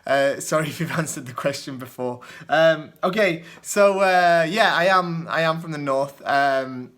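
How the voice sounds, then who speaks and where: A man's voice: on the high side, unhurried, fairly bright tone. A young man with a mild northern English accent from the northwest, casually answering viewer questions off the top of his head, with plenty of ums and small chuckles. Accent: mild northern English, Lancashire and Yorkshire mix. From northwest England. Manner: hesitant, lots of ums and uhs, chuckles often. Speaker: kendo channel host answering viewer questions. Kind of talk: casual off-the-cuff Q&A.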